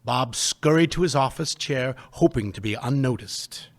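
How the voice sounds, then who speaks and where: A man narrating a live stage radio play in a measured storytelling way. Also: mid-range voice, lively intonation.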